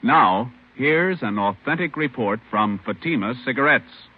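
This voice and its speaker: A man's voice: mid-range voice, expressive, a little slow. An American man announcing in polished, emphatic mid-century radio commercial style. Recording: tinny, noisy old radio broadcast.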